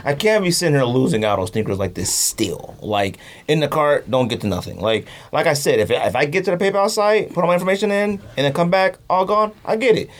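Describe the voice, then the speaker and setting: A man's voice: fairly high pitch, lively intonation, fast talker, hardly any pauses. A man co-hosting a podcast in casual, slangy, colloquial conversation. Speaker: podcast co-host. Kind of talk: casual sneaker podcast chat.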